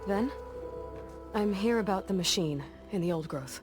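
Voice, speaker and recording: An actress playing a young female hunter, a capable outsider who speaks calmly and directly. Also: mid-range voice, normal speed, music in the background, natural voice. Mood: determined. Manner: calm, direct, matter-of-fact. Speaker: game heroine. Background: game score.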